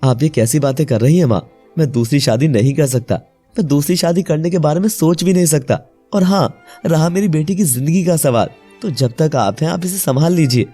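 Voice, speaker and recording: A man voicing a devoted, emotional young widowed father in a melodramatic animated moral story, speaking earnestly. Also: on the high side, a little fast, husky, soft background music. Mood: pained refusal.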